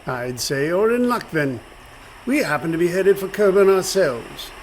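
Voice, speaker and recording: Man playing a high-voiced, insistent navy officer. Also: lively intonation, normal speed, a little hiss or hum. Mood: courteous, insistent. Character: polite, insistent British navy officer, smoothly authoritative. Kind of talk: comedic radio play acting.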